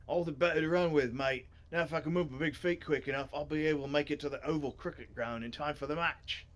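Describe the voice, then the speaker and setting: A man plays a boy who is chummy and keen, with an Australian accent. His voice sits fairly high, and he talks in friendly slang like a sporty kid in a story game. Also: fairly even intonation, fast, lightly acted. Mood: chummy, eager. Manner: matey, eager, slangy. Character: chummy boy with big feet who loves running.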